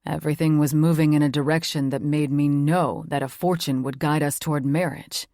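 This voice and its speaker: Woman voicing a low-pitched playful boyfriend. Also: fairly even intonation, a little fast, dark tone, no echo, clean studio sound. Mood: earnest. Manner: teasing, earnest, swears casually. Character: young man, playful devoted boyfriend. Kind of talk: audiobook character voice.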